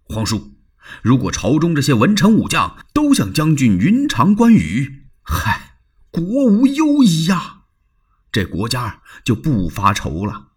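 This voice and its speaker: A man voicing an anxious, earnest older court noble in a traditional told story, pleading and husky. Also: on the high side, huge pitch swings, a little slow, voice pushed up, full character voice. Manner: urgent, pleading, turns tearful. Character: anxious loyal older male imperial in-law, earnest.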